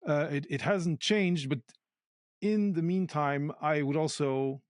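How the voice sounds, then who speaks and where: A man's voice: on the high side, slow pace, no echo, clean studio sound. A man from Portugal, speaking natively, talking as a podcast guest in a thoughtful way, often searching aloud for the right words. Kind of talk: casual conversation.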